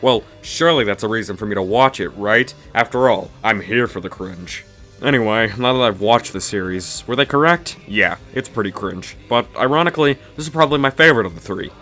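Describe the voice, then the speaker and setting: A man's voice: fairly low pitch, pushed and bright. A man narrating a scripted comedic review in a snarky, dismissive, mock-exasperated way. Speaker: video reviewer, host of a review series.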